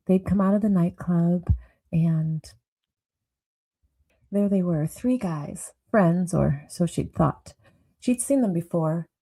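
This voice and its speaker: A woman narrating a noir audio drama with brooding, deliberate storytelling. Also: lowish voice, warm tone, no echo.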